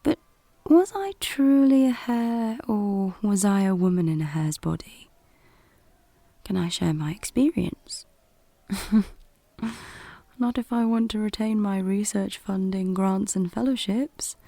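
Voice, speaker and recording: An actress playing a haunted academic researcher with a secret, speaking an introspective, confiding monologue in an audio drama. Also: female voice, medium pitch, slow, pauses often, mellow, no echo. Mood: wry, wistful.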